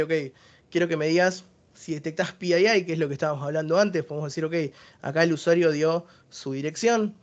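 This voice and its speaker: Argentine guy presenting a tech webinar, kinda high voice, dull lo-fi sound. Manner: explanatory, walks listeners through each service step by step.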